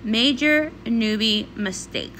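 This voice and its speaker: A woman's voice: on the high side, expressive, slow, bright, forceful tone, a little hiss or hum, heavily acted, poor audio. A woman voicing a young, sporty female pony princess, blunt, exasperated and sarcastic, in a comic read-along. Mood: scolding.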